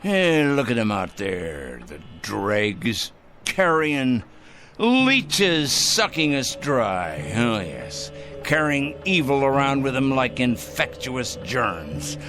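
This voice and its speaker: A man's voice: mid-range voice, huge pitch swings, slow pace, fairly bright tone, faint music behind, exaggerated voice. An actor in a radio drama playing a fussy, self-righteous male fanatic who speaks in a prim, formal, emphatic way. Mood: bitter contempt.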